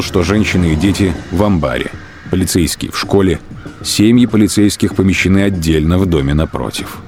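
A man's voice: sits low, darkish tone. A man reading scripted literary narration as the narrator of a radio drama. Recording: studio narration over clear music bed. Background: music.

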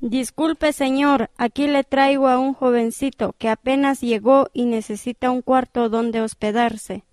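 A woman's voice: sits high, steady tone, a little slow, darkish tone, dry recording. A woman with a Guatemalan accent acting a helpful local señora in an amateur radio drama.

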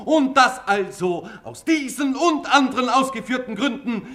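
Casting: an insistent, long-winded assessor for radio drama, high-pitched and piercing, declaiming like a pedant. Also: male voice, animated, moderate pace, fairly bright tone. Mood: strident insistence. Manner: declaiming legal formulas. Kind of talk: German radio play acting.

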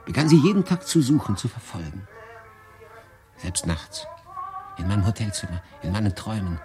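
Melancholy young refugee telling his story, intense, lowish voice, music behind, radio play. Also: very animated, moderate pace. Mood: haunted, longing. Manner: intense, swinging between hushed and emphatic. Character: weary, brooding young German refugee narrator, passionate, melancholy. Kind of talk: dramatic first-person narration in radio drama.

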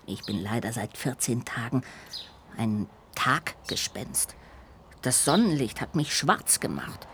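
A woman voicing a small, contrite, childlike ghost in a children's radio play, earnest, lamenting and expressive. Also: rumbling low, takes it slowly, a little hiss or hum, exaggerated voice. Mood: apologetic, confiding. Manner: expressive, lamenting, swinging between exclamations and hushed confiding.

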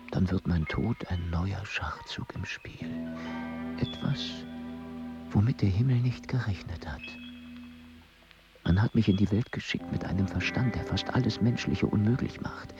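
A man's voice: sits low, a little slow, highs cut off, over loud music. An actor voicing a brooding male mathematician, mostly in a whispered inner monologue that sounds bitter and proud, in a radio play. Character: brooding male mathematician weighing suicide by poison.

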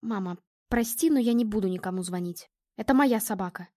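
A woman's voice: mid-range voice, a little fast, mellow, no echo, studio quality, close to an everyday voice. A woman voicing a stubborn young girl who pleads and protests emphatically in a story. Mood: timid, defensive.

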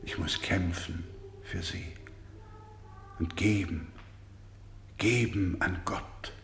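An actor playing a weary, devout, gentle doctor in a radio play, solemn and halting, with swings between hush and fervour. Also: male voice, low-pitched, gravelly, reverberant, slight background noise, heavily acted. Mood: pleading, fervent. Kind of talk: dramatic radio play acting.

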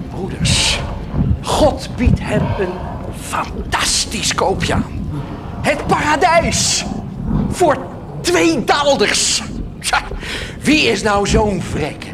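An actor playing a wheedling monk who hawks indulgences with theatrical, cajoling patter in a radio drama. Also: male voice, very high-pitched, noisy background, heavily acted. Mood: hawking. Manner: theatrical, cajoling sales patter, punctuated with laughs. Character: wheedling monk huckster selling indulgences to peasants.

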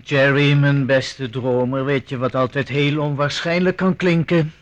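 Flamboyant male charmer played by a male actor: suave, flirtatious, teasing, mid-pitched, theatrical radio drama. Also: steady tone, a little slow, highs cut off, lightly acted. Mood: mocking, flirtatious. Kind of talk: Dutch radio drama acting.

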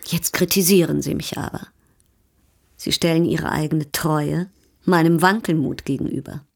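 A woman's voice: deep voice, steady tone, moderate pace, creaky, a little mellow. A woman playing a coquettish, ironic baroness in a German radio drama, poised and teasing.

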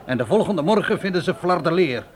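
A man's voice: high voice, expressive, a little fast, some chatter behind. A man playing a seasoned, cynical frontier guide in a radio drama, grumbling in a sardonic, colourful way. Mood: mocking, grumbling.

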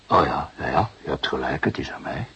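An actor playing a patient, fatherly, wry police detective in a Dutch radio play, speaking in deliberate, musing phrases. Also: a bass voice, expressive, pushed and bright, slightly rough, heavily acted. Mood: probing, wry. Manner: deliberate, hesitant musing with repeated assents. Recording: muffled radio drama recording.

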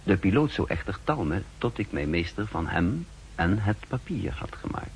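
A self-assured double agent in an old sixties radio play. He has a low voice with a brisk, secretive manner, recorded with slight noise behind it. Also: big pitch swings. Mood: focused, secretive. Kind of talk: 1960s Dutch radio drama acting, partly interior narration.

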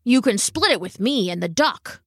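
A woman's voice: on the high side, animated, moderate pace, a bit bright, no room sound, studio quality. A woman voicing a humble, earnest old male dog in a storybook, speaking hesitantly and sincerely. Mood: bargaining. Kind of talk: storybook character voice.

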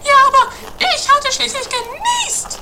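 A woman's voice: extremely high pitch, sing-song, unhurried, heavily acted. A woman playing a bossy, quarrelsome sister in an improvised comedy radio play, exclamatory, taunting and haughty. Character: Mathilde, shrill, bossy, quarrelsome adventuring sister. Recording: faint noise, amateur 1980s radio play.

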